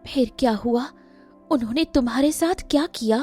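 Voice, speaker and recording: A frightened, innocent village girl acted by a woman in a cartoon tale. Her voice is pitched high and she stammers, repeating words in wide-eyed wonder, over light music. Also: moderate pace, warm tone, close to an everyday voice. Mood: anxious, curious.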